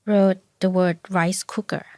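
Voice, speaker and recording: A woman's voice: fairly low pitch, fairly even intonation, a little slow, warm tone, dry recording, studio quality. A woman from Thailand with a Thai accent, speaking English as a second language, candidly chatting as an interview guest about raising her two kids. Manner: candid, restarts sentences while recalling. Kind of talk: casual interview chat.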